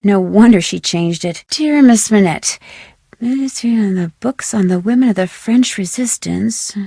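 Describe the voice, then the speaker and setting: An American woman playing a curious, plucky, polite young detective in an adventure video game, with clear, inquisitive delivery. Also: mid-range voice, unhurried, warm tone, dry recording, clean studio sound. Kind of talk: scripted adventure game dialogue.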